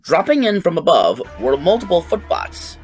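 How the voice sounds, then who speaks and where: A woman's voice: on the low side, big pitch swings, normal speed, darkish tone. A woman narrating a scripted fan fiction story as its storyteller. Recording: faint music behind the voice.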